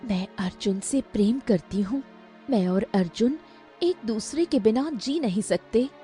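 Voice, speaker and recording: Woman playing an earnest, expressive young heroine. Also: on the high side, measured pace, soft background music. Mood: earnest, pleading. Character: earnest, lovelorn young village woman, animated tale heroine.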